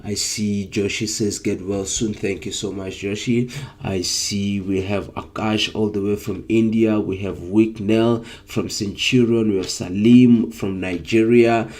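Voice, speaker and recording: A young man hosting a live trading stream, sick with flu so his voice is less clear, upbeat and hyping up his viewers with plenty of ums. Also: fairly low pitch, steady tone, measured pace, darkish tone. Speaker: trading session host.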